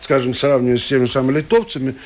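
A man's voice: medium pitch, fairly even intonation, racing, darkish tone. A man speaking as a political scientist guest on a radio panel, talking in a hesitant way with many fillers and restarts.

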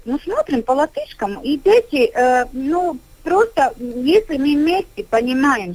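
A middle-aged woman with a somewhat high voice speaks as a kindergarten director in a radio studio. She pauses often and fills the gaps with hesitation sounds as she talks her points through. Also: rough recording. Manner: halting, many filler sounds. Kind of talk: radio discussion.

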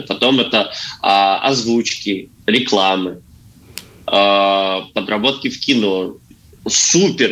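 Bright, forceful low-voiced man in interview. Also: poor audio. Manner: halting, searching for words, repeating phrases. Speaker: actor as talk show guest. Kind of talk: earnest conversational interview answers. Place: radio studio.

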